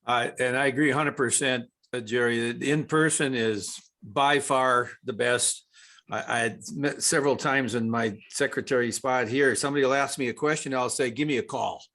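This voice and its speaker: A man's voice: mid-range voice, steady tone, moderate pace, fairly bright tone. An American man raising a question in an informal meeting, talking in a rambling, folksy way. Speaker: regional secretary and officials coordinator.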